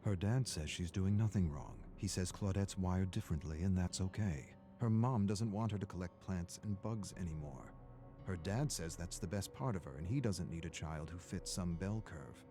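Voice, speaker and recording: Man, low-pitched voice, measured dramatic story narration, prominent background music. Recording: music under the voice.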